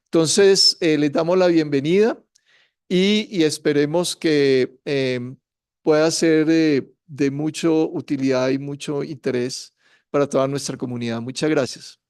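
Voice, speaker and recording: A man's voice: a little high, fairly even intonation, unhurried, darkish tone, clear, resonant tone, no room sound, clean studio sound. A man hosting a university seminar, giving a formal, courteous welcome with hesitant fillers. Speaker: university faculty host introducing guests.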